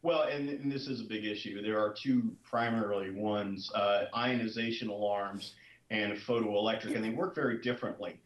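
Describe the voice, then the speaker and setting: A man who reports on real estate, answering fast and emphatically and repeating his points. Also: fairly low pitch, steady tone, roomy sound, poor audio. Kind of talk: interview answers.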